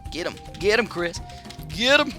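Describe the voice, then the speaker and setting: A man's voice: high voice, sing-song, normal speed. A man giving casual, rambling gameplay commentary, chatty and full of fillers and restarts. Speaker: gaming channel host. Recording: game music playing under the voice.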